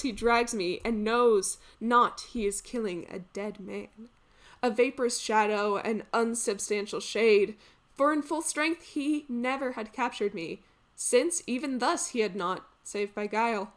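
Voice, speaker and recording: A woman playing a betrayed, wretched male exile in a Greek tragedy monologue, declaiming archaic lines in drawn-out anguish. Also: fairly high pitch, natural voice. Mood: wretched, bitter. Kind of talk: theatrical classical tragedy monologue.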